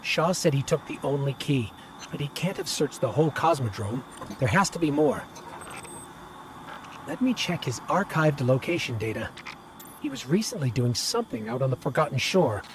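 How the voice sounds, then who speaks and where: An actor playing an anxious, earnest little AI robot companion in a video game, reporting things precisely. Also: male voice, mid-range voice, big pitch swings, normal speed, background noise, natural voice. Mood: worried.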